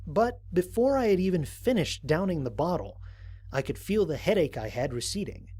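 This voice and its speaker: A man playing a thoughtful, wary space explorer, narrating scripted mission logs in a measured, articulate, reflective way. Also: on the high side, big pitch swings, mellow, breathy edge. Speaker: narrator of a fan audio drama. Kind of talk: scripted first-person mission log narration.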